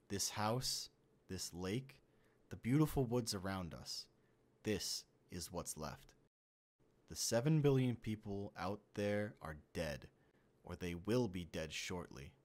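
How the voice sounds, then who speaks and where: A man playing an inhuman host who poses as a trusted friend in a comic dub, speaking in a measured, reassuring way. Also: sits low, lively intonation, darkish tone. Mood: calm, ominous.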